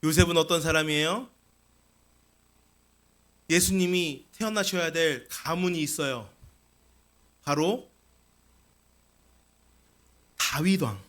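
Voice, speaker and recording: High, bright-voiced male pastor preaching. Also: takes it slowly, long pauses. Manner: explanatory, asks rhetorical questions and repeats key phrases. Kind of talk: sermon with scripture explanation.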